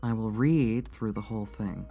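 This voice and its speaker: Woman playing kind composed lady in audio drama, mellow voice, careful n sympathetic, music behind. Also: extremely low pitch, normal speed. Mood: formal, careful. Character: composed, kindly woman reading a final letter.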